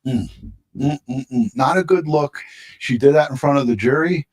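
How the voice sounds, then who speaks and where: A man's voice: medium pitch, moderate pace, a little mellow. A man giving casual true-crime commentary as a live stream panelist, opinionated and repeating himself for emphasis.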